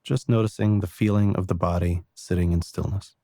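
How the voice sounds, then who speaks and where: A man guiding a meditation in a calm, gentle and unhurried way, reassuring the listener with soft spoken instructions. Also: low voice, no room sound, clean studio sound. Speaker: meditation guide.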